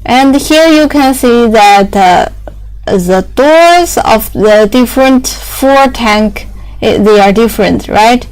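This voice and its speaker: A young woman whose first language is Chinese, explaining factory machinery in halting English with many fillers and restarts. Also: a little high, a little mellow, smooth, rough recording.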